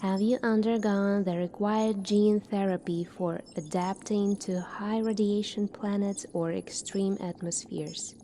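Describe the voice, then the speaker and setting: A soft-spoken woman doing an ASMR roleplay as a calm military doctor, asking questions in a measured way. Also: mid-range voice, slight background noise. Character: calm seasoned future military doctor examining a space recruit.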